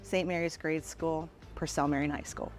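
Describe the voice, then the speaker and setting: A woman's voice: deep voice, steady tone, normal speed, soft background music. A woman, a bar and grill owner answering interview questions playfully and laughing often. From Norwood, Ohio. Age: late 40s. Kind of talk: casual interview.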